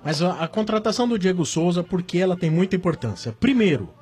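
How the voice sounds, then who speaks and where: A male sports radio pundit makes his case in short, firm points. His high voice swings widely in pitch and is slightly husky, with faint music behind him. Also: expressive, fast, darkish tone. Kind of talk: casual sports radio chat.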